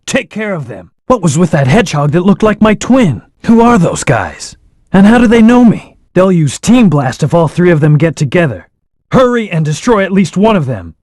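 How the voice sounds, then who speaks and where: A man's voice: fairly high pitch, darkish tone, dry recording, clean studio sound. A young adult actor voicing a brooding, cold male hedgehog antihero in a video game, giving terse, detached commands and gameplay hints.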